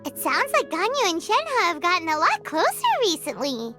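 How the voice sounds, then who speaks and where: A woman voicing a tiny, childlike, excitable and huffy fairy companion in a video game, squeaky and given to exclaiming. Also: moderate pace, faint music behind, full character voice. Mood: curious, chatty. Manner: refers to self in third person, exclaiming.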